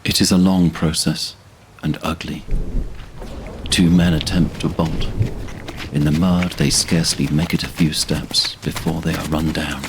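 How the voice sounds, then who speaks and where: A man narrating a fantasy audio drama in a solemn, measured manner. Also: extremely low pitch, steady tone, noisy background.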